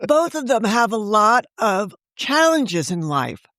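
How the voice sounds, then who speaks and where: A woman's voice: mid-range voice, big pitch swings, slow, a bit bright, no echo, studio quality. A woman novelist talking as an interview guest, telling stories in a chatty way and laughing often. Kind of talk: casual interview chat.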